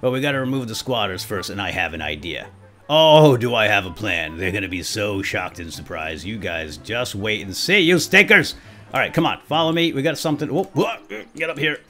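Animated man playing and narrating a game. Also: fairly low pitch, soft background music. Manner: playful, exclaiming, repeats words in quick bursts. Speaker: gaming video host. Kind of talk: excitable role-play gameplay commentary.

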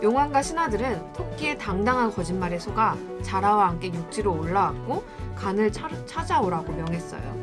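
Woman co-host, mid pitch, casual chat n storytime reading, music behind her. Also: expressive, brisk, few pauses. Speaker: co-host who reads the fairy tale. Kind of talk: casual chat and simple storytelling read aloud.

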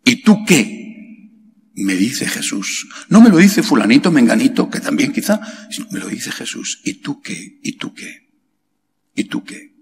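A middle-aged man from Spain, a priest preaching a homily slowly and emphatically, asking rhetorical questions and leaping up in pitch on key words, into a stand mic in a large chapel. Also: gravelly, husky. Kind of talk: sermon. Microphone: stand mic at lectern. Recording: long hall reverb, faint background hiss.

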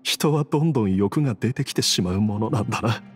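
Man acting a weary dying father, low voice. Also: animated, faint music behind, lightly acted. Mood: weary, grateful. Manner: solemn, wistful, halting. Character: dying gentle father, weary, devoted to his family. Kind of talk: Japanese video game voice acting.

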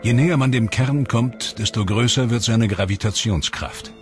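A man giving measured, scripted documentary narration in an explanatory tone. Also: mid-range voice. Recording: voice-over with music bed. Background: clear music.

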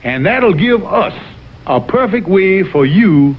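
A slick Southern cartoon fox schemer, high-pitched and swooping in pitch. He blusters and wheedles in old-time TV style. The sound is dull, with music. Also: animated, takes it slowly, slight background noise. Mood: persuasive. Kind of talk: 1960s TV cartoon voice acting. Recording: dull vintage TV audio with music.

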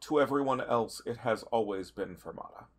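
A man with a medium-pitched voice improvises dialogue as a player in a tabletop game. His wording is careful and formal, with some hesitation. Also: normal speed.

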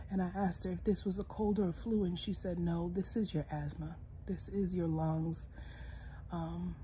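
A young woman lying in bed and talking casually to her phone camera, congested and hoarse, tired and soft-spoken, sometimes dropping to a whisper. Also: fairly low pitch, slight background noise. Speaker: vlogger. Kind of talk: casual personal vlog. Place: bedroom, lying in bed indoors. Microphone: phone camera close, held low.

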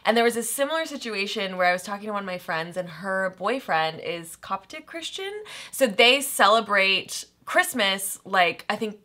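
A woman's voice: medium pitch, lively intonation, normal speed, sharp tone. A young woman co-hosting a reaction video, telling stories in a chatty way and saying "like" often. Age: young adult. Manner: chatty, storytelling, uses "like" often. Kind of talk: casual reaction chat. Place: indoor home studio room.

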